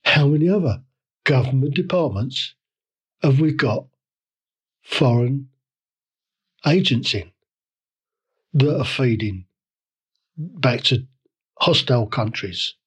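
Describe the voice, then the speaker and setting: A man hosting his own podcast, ranting about the news. His voice is a little croaky and husky from a cold. He talks in indignant rhetorical questions and often stops to restart his sentences. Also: mid-range voice, lively intonation, slow, long pauses, low-quality recording. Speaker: podcast host. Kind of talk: solo opinion rant.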